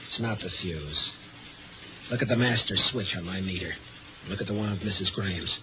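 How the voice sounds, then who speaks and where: A man's voice: sits low, a little fast, natural voice. An actor playing an embittered, desperate, paranoid man in a 1950s radio drama, speaking tensely and insistently. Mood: nervous suspicion. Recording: noisy, tinny vintage radio recording.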